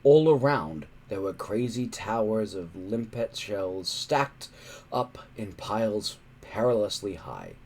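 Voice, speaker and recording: A male storyteller reads a children's adventure with a very lively, swooping delivery. He takes his time, pausing and stressing words to build suspense. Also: medium pitch. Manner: suspenseful, emphatic, with pauses. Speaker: storybook reader. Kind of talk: dramatic read-aloud narration of a children's adventure.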